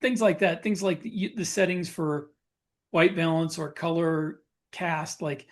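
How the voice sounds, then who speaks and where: A man chatting casually as a podcast co-host, explaining things in a halting way. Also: fairly high pitch, steady tone.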